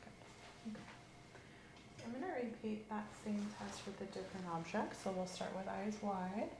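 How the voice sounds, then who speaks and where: A woman doing an ASMR medical roleplay as an examining clinician, giving calm, gently coaxing clinical instructions. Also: medium pitch, moderate pace, gravelly. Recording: roomy echo, faint background hiss.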